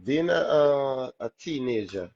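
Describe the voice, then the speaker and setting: A man with a Trinidadian accent and a raspy voice, telling animated stories as a guest in a casual interview. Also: mid-range voice, measured pace, no echo, highs cut off. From Trinidad and Tobago. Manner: animated storytelling, laughs often. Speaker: soca artist guest.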